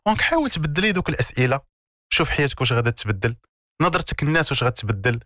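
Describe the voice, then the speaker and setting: A man's voice: medium pitch, sing-song, rapid-fire, fairly bright tone, no echo, studio quality. A man with a Moroccan accent explaining self-development ideas as an interview guest, in an emphatic, didactic way with repeated key words and rhetorical questions. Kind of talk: conversational explanatory coaching in an interview.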